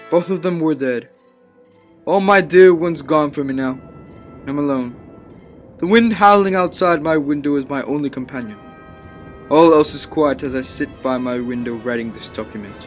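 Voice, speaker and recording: A man's voice: medium pitch, close to an everyday voice. An actor playing a tormented, guilt-ridden man of science, fervent and anguished in old-time radio drama style. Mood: desolate. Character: tormented, guilt-ridden male scientist haunted by his creation.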